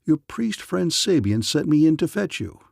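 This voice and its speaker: A man as a blunt, gravelly hero thief. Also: a little high, very animated, normal speed, a little mellow, no room sound, clean studio sound, pitched above the performer's own voice, heavily acted. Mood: resolute. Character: bold, terse male master thief, fantasy hero.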